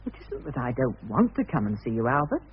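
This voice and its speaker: A man's voice: mid-range voice, sing-song, quick pace. An actor playing a naive new millionaire in a radio comedy, earnest and hesitant, bumbling as he tries to please. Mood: earnest, self-important. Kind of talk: radio comedy drama acting. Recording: muffled, husky-sounding radio drama recording.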